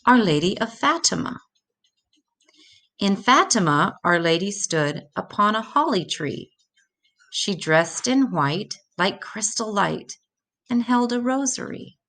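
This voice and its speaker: An older woman reading a rhymed children's religious story aloud in a measured, deliberate way. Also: medium pitch, lively intonation, fairly bright tone.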